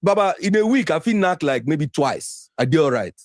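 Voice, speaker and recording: High, lively Nigerian Pidgin-speaking man. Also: moderate pace, no room sound, studio quality. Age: 40s. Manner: boastful, emphatic, swears. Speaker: interviewee in a played clip. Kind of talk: blunt candid chat.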